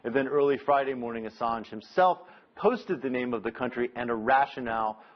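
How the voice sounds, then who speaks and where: An American man giving a live news report as a correspondent, explaining in a measured way and stressing key words. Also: medium pitch, muffled recording.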